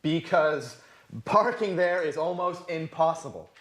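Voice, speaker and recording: An actor playing a nervous, apologetic singer in a scripted sitcom for language learners, speaking anxiously and clearly. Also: male voice, on the high side, measured pace, a bit bright. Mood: polite, unsure. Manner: anxious, self-doubting. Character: nervous, apologetic, clumsy male professional singer before a show. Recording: echoey room sound.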